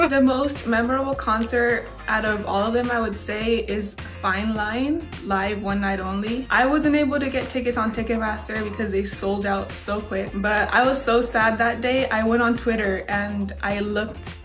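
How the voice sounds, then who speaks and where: A woman's voice: a little high, steady tone, moderate pace, few pauses, a bit bright, soft background music. A young woman from Los Angeles, chatty, telling her story in a sit-down interview indoors. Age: young adult. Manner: chatty, says "like" a lot. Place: small room indoors.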